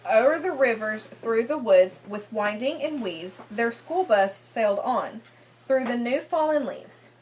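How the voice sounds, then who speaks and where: Higher-voiced woman reading aloud. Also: lively intonation, unhurried, darkish tone, a little hiss or hum, rough recording. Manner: often repeats children's answers back, prompting them with questions. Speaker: teacher reading a picture book to young children. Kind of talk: interactive read-aloud lesson with questions and explanations.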